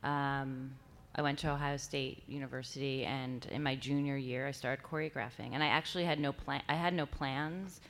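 A woman from New York speaking as a guest at a live panel. Her voice is quite low for a woman, and she keeps a dry, self-deprecating, matter-of-fact tone. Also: fairly even intonation, moderate pace, hardly any pauses. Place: stage before a live audience.

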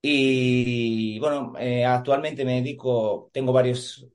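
A man's voice: medium pitch, steady tone, takes it slowly, darkish tone. A man in his forties from Madrid, a podcast guest speaking earnestly over a video call, hesitating often with fillers and repeated words. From Madrid, Spain. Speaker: podcast guest, police officer and emotional coach. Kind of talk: casual conversational interview.

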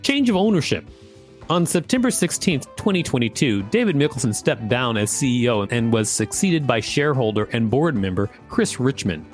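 Male podcast co-host, mid-range voice, loose jokey read-aloud, soft guitar music underneath. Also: mid-range voice, sing-song, moderate pace. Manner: informal, joking, stumbles over words. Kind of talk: reading an article aloud with casual banter.

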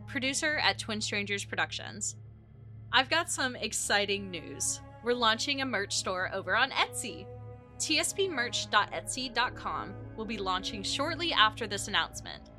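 A woman's voice: mid-range voice, animated, normal speed, bright, forceful tone, soft background music. A woman reading scripted promotional announcements as a podcast producer.

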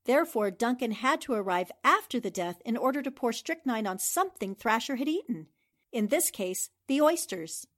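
A woman acting a sharp, confident newspaper reporter in a 1940s-style radio drama, speaking in a crisp, assured way. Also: on the high side, expressive, normal speed. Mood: confident deduction. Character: sharp, confident female newspaper reporter turned amateur sleuth.

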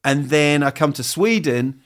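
An English comedian in his fifties talks about himself with a rambling, self-mocking flow. He keeps stopping and restarting, and slips in quick put-on voices for his jokes. His accent is English, with a faint New Zealand touch. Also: a little high, animated. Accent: English with a bit of New Zealand. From England. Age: 50s. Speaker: comedian, interview guest. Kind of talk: candid, self-mocking interview chat.

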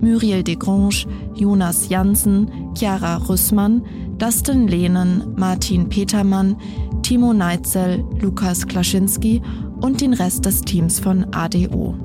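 A woman's voice: medium pitch, slow pace. A woman reading scripted credits as an announcer in an orderly narration style. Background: prominent music.

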